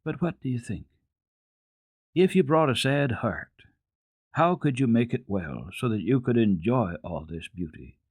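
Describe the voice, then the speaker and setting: A man's voice: medium pitch, expressive, slightly rough, no room sound, studio quality. A man voicing a kindly, thoughtful doctor with a sad heart in a storybook reading. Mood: pensive, wistful. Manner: slow, gentle, pensive.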